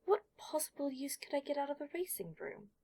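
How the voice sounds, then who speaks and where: A woman voicing a precocious, indignant young boy with a pedantic manner that turns shrill when annoyed, in an audiobook story. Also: a little fast, warm tone, lightly acted. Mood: sarcastic.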